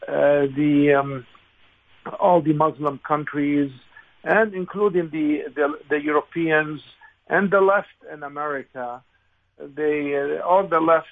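Over a thin, phone-like line comes an older Lebanese-accented man in his sixties. His voice is gravelly and creaky at a middling pitch, and he answers conversationally, halting often as he searches for the next phrase. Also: fairly even intonation. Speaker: interview guest, congressional candidate. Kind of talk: conversational interview, recollection. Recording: narrow, tinny phone-like line.

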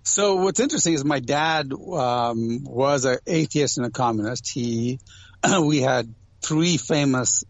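A man's voice: medium pitch, unhurried, no room sound. Man explaining in dull, lo-fi audio. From Ghana and other countries abroad, Indian family. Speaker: interview guest. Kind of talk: conversational interview, personal storytelling.

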